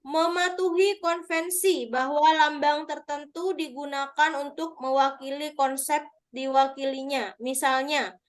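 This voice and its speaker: A woman with a high, light voice leads an online review class. She explains terms step by step, patiently checking answers with her participants over a video call. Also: fairly even intonation, a little slow. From Madura. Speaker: teacher. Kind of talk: online exam review lesson.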